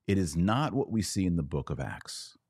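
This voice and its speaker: A man's voice: deep voice, sing-song, moderate pace, a little mellow, breathy edge, dry recording, studio quality. A man hosting a podcast, lecturing with emphasis and repeating his points for stress. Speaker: podcast host. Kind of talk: podcast commentary on church liturgy.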